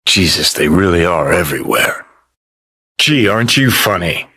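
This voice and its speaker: An actor playing a cynical rebel rockerboy ghost in a video game, talking in a gruff, sardonic drawl with clipped phrases. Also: male voice, low-pitched, lively intonation, normal speed, sharp tone, heavily acted. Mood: bitter, sarcastic. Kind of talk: video game character voice acting.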